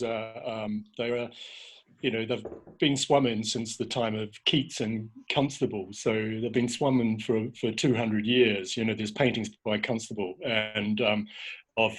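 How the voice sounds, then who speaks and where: Husky-voiced New man, hesitant. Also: medium pitch, rough recording. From New Zealand. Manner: hesitant, many ums, restarts. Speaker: documentary filmmaker guest. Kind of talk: casual interview over video call. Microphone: video call.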